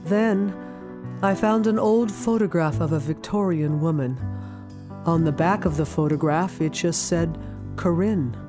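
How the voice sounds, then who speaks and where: A woman's voice: fairly low pitch, over music, close to an everyday voice. An actress playing a reflective, lonely woman narrating memories of her childhood in an audio drama. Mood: wistful. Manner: wistful, deliberate. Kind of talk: scripted first-person dramatic narration.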